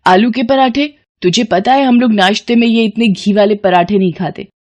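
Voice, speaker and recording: A woman's voice: medium pitch, normal speed, natural voice. A woman voicing a haughty middle-aged rich mother-in-law who talks in an imperious, cutting, condescending way in a family story. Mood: scornful scolding.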